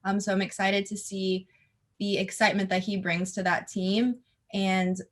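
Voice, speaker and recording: A young woman with a mid-range voice speaks as a guest in casual sports talk. She lays out her opinions steadily, often pausing with "um". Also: medium pitch, little pitch movement. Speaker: podcast guest.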